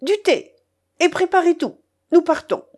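A woman voicing an imperious, quick-tempered old grandmother in an audiobook, blunt and scolding. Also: a little high, very animated, a little mellow. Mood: bossy, agitated. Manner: commanding, exclaiming, scolding. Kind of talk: audiobook character voice.

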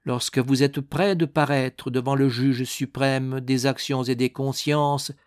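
Storybook clergyman, man performing: stern, preachy, indignant, raised voice, measured pace. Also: mid-range voice, flat delivery, no room sound, studio quality, pitched above the performer's own voice, lightly acted. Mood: solemn exhorting. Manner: solemn, preachy, insistent. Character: stern, insistent male Protestant minister, solemn and indignant.

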